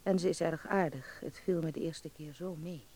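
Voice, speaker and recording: A man's voice: fairly high pitch, steady tone, normal speed, very noisy, close to an everyday voice. A man playing a hopeful young actor who narrates in the first person, earnest and confiding, in a radio drama. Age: young adult. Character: hopeful young male actor narrating his letter home.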